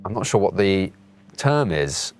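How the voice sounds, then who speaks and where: A man musing tentatively in a casual interview, with soft guitar music behind him. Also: low-pitched, very animated, takes it slowly.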